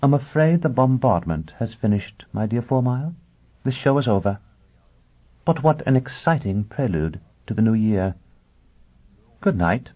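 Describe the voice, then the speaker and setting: Storybook noblewoman played by a male narrator: lifted pitch, husky breath, amused hauteur, icy and fierce, on a slightly dull recording. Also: very animated, measured pace, pauses often. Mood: haughty, dismissive. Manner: amused, haughty, theatrical.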